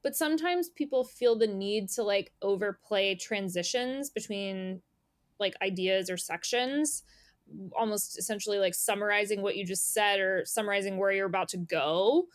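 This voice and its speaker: A woman with a medium-pitched voice, recorded with almost no room sound. She talks as an editor being interviewed, informal and thoughtful, in loose conversational phrasing. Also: moderate pace, dry recording, studio quality.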